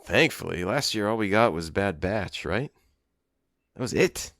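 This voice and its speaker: A man's voice: lowish voice, expressive, a little slow, a bit bright, no room sound, studio quality. A man co-hosting a casual podcast about a TV show. He rambles, restarts and laughs as he talks. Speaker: podcast co-host. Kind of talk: casual chat.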